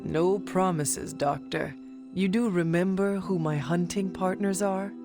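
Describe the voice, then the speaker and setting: A man's voice: sits high, steady tone, measured pace, creaky. A man playing a solemn, resolute young hunter in a video game cutscene, with formal, stately phrasing. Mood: firm. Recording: loud game music behind.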